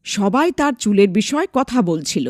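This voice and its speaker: Lively woman narrating a folk tale. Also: mid-range voice, moderate pace, dry recording, studio quality. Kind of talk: scripted storytelling narration.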